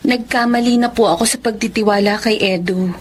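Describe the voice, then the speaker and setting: A woman's voice: mid-range voice, a little fast, natural voice. A woman playing an earnest, wounded young Filipina in a radio drama, respectful and resolute. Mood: sad, resolute. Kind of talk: radio drama acting and first-person narration.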